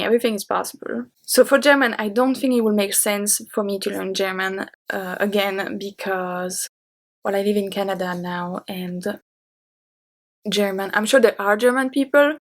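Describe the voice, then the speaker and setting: Woman, 30s, French accent, native French. Chatty YouTube host answering questions. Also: medium pitch. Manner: chatty and open, with light laughs. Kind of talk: casual Q&A talking to camera.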